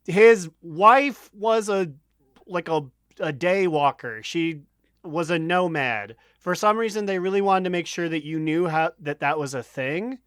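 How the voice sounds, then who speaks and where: Higher-voiced man in casual podcast chat. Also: animated, a little slow, a bit bright, smooth, no echo, studio quality. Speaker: podcast co-host.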